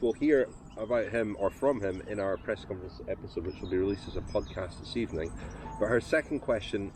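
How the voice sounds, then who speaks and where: A man's voice: fairly low pitch, a little mellow, slight background noise, rough recording. A man hosting a podcast, asking questions and teasing his co-host in casual chat.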